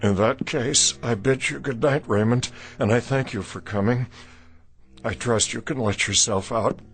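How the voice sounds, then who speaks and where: A man's voice: mid-range voice, a little slow, lightly acted. An actor playing a proud, desperate, lovesick older man in a radio drama, speaking formally and earnestly with theatrical weight. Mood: wounded, formal.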